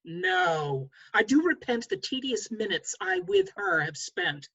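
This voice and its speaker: A woman's voice: sits high, huge pitch swings, a little slow, a bit bright, husky, highs cut off, full character voice. A woman playing an ardent, fickle young nobleman in a verse play read over a video call, impassioned and declamatory. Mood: wooing. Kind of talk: stage verse read aloud at a table read.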